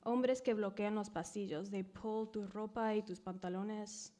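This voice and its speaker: A woman in her thirties performing poetry at a live reading, mixing English and Spanish, swinging between hushed whispers and loud cries. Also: mid-range voice, steady tone, moderate pace. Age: 30s. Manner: dramatic, shifting from whispers to screams. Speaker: poet reading at a live event.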